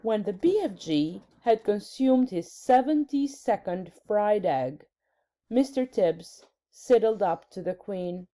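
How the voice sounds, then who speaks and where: Female reader for children's book narration, taking her time, with a relaxed tone. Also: mid-range voice, takes it slowly. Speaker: story reader.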